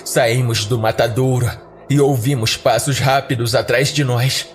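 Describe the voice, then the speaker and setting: A man's voice: medium pitch, steady tone, a little slow. A man with a Brazilian accent, a podcast host, giving a dramatic scripted first-person horror narration. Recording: background music under the voice. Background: music.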